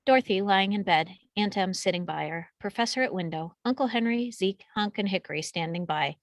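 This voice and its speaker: A woman with a mid-pitched voice narrates stage directions from a script. The sound is close and dry, with no room around it. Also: animated, fairly quick, no room sound.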